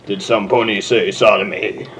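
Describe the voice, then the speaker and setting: A boastful magician mare, voiced by a man in a comic fan story. The delivery is pompous and declamatory, dropped well below the reader's usual pitch, with slight noise behind. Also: fairly even intonation, normal speed, fairly bright tone. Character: boastful female magician pony, speaking in third person.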